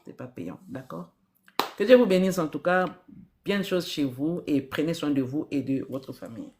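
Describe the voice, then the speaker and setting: A woman's voice: deep voice, animated, moderate pace, darkish tone, a little hiss or hum. Earnest woman giving spiritual advice. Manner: earnest, repeats key phrases, asks rhetorical questions. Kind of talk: live-stream spiritual advice.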